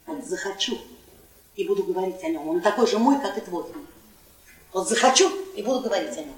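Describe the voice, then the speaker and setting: An actress playing a brash, drunken, domineering wife in a radio play, coarse and badgering. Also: female voice, mid-range voice, lively intonation, a little fast, recorded from a distance, natural voice. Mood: defiant. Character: brash, drunken, domineering, foul-mouthed middle-aged wife. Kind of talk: Russian theatrical radio play acting. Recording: echoey stage, off the mic.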